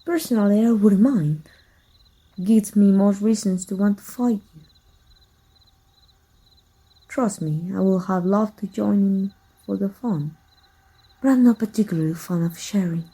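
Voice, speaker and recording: A woman acts out a possessive villainess speaking intimately to her rival in an ASMR roleplay. Her voice is very mellow and breathy, at a middling feminine pitch. She teases softly and deliberately, with jealous menace underneath. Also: low-quality recording. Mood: possessive, coolly menacing.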